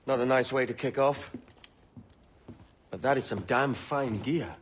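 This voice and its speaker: A man's voice: mid-range voice, measured pace, a little hiss or hum, natural voice. A man playing a roguish, money-minded young pirate captain in a video game, flippant, colloquial and swaggering. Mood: curious, doubtful. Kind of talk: dramatic video game acting.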